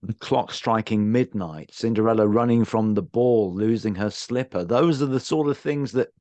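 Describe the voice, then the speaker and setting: A man's voice: lowish voice, moderate pace, a little mellow, no echo. A man hosting a podcast and putting questions to guests in a conversational way. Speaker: podcast host and interviewer.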